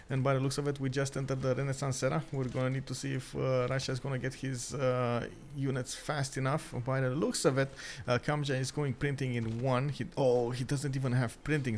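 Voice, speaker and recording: A man from Romania with a Romanian accent, hosting a stream and commentating a strategy game in chatty, halting talk that breaks into frequent laughs. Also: mid-range voice, slight background noise. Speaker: esports caster and stream host.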